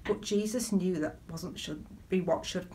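A middle-aged woman gives a conversational sermon as a church deacon, telling personal stories in a measured way and putting questions to her listeners. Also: lowish voice, dark tone. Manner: measured, storytelling delivery with rhetorical questions. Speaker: church deacon leading online worship. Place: small living room at home, indoors.

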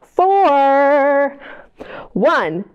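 Animated woman reading a storytime. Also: high-pitched, drawn-out words, highs cut off. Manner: bright, encouraging, talks to kids. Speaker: children's librarian. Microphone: camera mic.

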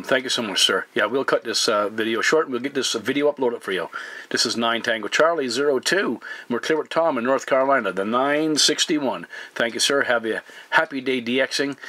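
A man's voice: mid-range voice, fairly quick, pushed and bright. A man working as a CB radio operator, speaking in courteous, formal phrases in a two-way exchange. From Newfoundland, Canada. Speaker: CB radio operator making a contact video.

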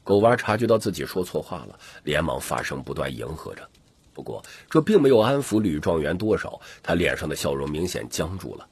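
Male narrator, audiobook, low pitch, very husky, animated, scripted storytelling. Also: brisk, no room sound. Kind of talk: dramatic scripted fantasy narration.

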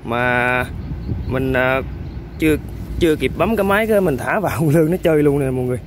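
A man with a Vietnamese accent hosting an outdoor eel-fishing vlog by a riverbank. He gives running commentary to viewers while he works, with excited exclamations. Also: mid-range voice, a little fast, background noise. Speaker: eel-fishing channel host.

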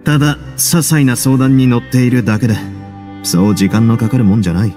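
An actor playing a self-assured duke in an anime game, dry and casually teasing. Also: male voice, mid-range voice, steady tone, fairly quick, mellow, faint music behind, lightly acted. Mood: composed. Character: cool, wry adult duke, self-assured prison overseer. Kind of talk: Japanese anime game voice acting.

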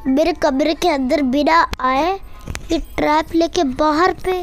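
A woman's voice: high-pitched, a little slow. A young girl giving casual, chatty and playful commentary on a game she is playing. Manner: chatty, playful, breaks into nonsense sounds and singing. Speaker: gamer commentating on own play. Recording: music behind the voice.

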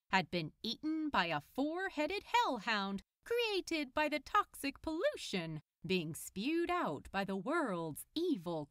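A woman reading fan fiction aloud as narrator, in an expressive storytelling style. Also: on the high side, dry recording, clean studio sound.

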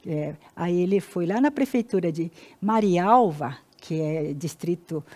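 A woman in her sixties from Paraná with a Brazilian Portuguese accent, telling her life story as an interview guest in a halting, earnest way. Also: on the low side, expressive, mellow. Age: 60s. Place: indoor TV-style studio.

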